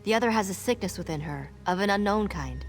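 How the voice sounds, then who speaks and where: A woman's voice: medium pitch, animated, fast talker, soft background music, lightly acted. A woman playing a guarded forest witch in a fantasy game, speaking in a cool, formal, suspicious way. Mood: wary, cool. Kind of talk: video game character acting.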